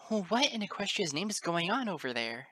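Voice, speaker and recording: A motherly pony schoolteacher in a fantasy audiobook, acted by a woman, lifted well above the narrating pitch. She speaks earnestly and emotionally, with a bright tone in a dry recording. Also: drawn-out words, dry recording, full character voice. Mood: alarmed, shrieking. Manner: emotional, earnest, tender with children. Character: caring adult female pony schoolteacher, easily alarmed. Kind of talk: dramatic audiobook character acting.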